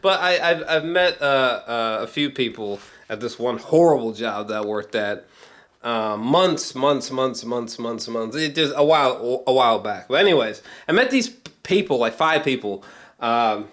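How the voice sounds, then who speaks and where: A man talking off the cuff in a casual film review vlog, excitable and emphatic, rambling, repeating himself and swearing often. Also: medium pitch. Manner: rambling, halting, frequent swearing. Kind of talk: unscripted, casual movie reaction talk.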